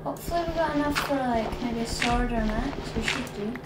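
A woman's voice: on the high side, brisk. A woman hosting a gaming video, chatting and thinking aloud as she plays. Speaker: gaming channel host. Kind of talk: Minecraft let's play commentary. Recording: echoey room, distant mic, loud noise.

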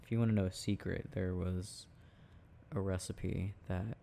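A young man playing a sweet, shy, devoted boyfriend in an intimate ASMR roleplay, speaking softly and hesitantly, close to the mic. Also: low-pitched, flat delivery, creaky, a little hiss or hum. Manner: soft, tender, hesitant, halting.

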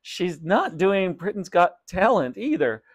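Wry storybook daughter, read by a man, amused, matter-of-fact, slightly high voice. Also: sing-song, measured pace, full character voice. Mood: amused, correcting. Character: wry young daughter correcting a muddled shopkeeper.

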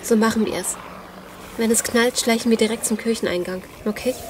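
An actress plays a resourceful female survivor in a zombie audio drama. She speaks in a fairly high voice, tense but determined. Clear background ambience surrounds her. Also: steady tone, brisk, close to an everyday voice. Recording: noisy outdoor ambience sound effects.

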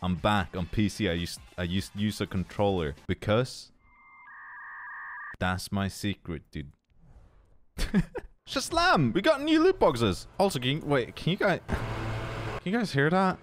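A man's voice: fairly low pitch, very animated, measured pace, pauses often, a little hiss or hum. A young man with a Swedish accent giving goofy, casual commentary while opening loot boxes, tired and swearing freely. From Sweden. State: tired from no sleep. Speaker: gaming YouTuber.

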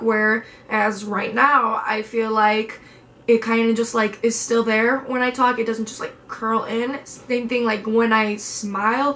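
A tired young woman with a raspy voice, chatting casually to the camera in a vlog, full of "like" and "um", filming from her bedroom and her car. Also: on the high side, a bit bright. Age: young adult. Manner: chatty, lots of fillers and "like". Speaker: vlogger. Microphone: camera at arm's length.

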